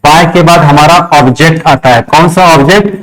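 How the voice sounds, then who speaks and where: A man's voice: fairly high pitch, normal speed, fairly bright tone, rough recording. A man with an Indian accent teaching a lesson step by step, repeating key phrases and answering his own questions. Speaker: English grammar teacher. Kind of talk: classroom lesson, step-by-step explanation.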